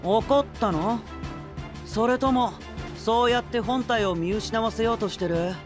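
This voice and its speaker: An actor playing a calm, clever young male knight in an anime-style voice drama, cool, confident and lightly mocking. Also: extremely high pitch, measured pace, music in the background. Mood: taunting.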